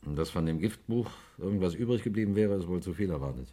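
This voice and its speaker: An actor playing a brisk, probing police inspector in a 1960s German radio crime play, with an official, matter-of-fact manner. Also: male voice, deep voice, expressive, dark tone. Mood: businesslike.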